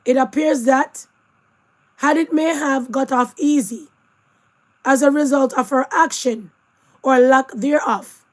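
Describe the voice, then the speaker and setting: Woman host, hoarse from losing her voice, higher voice, chatty commentary. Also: fairly even intonation, slow, pauses often. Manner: chatty asides, addresses viewers directly. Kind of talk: casual news commentary.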